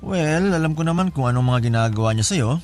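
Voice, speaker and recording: An actor playing a casual, scheming in-law who offers help with strings attached, familiar and coaxing, in a Filipino radio drama. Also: male voice, medium pitch, lively intonation, quick pace, lightly acted. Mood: reassuring, conspiratorial. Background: music bed.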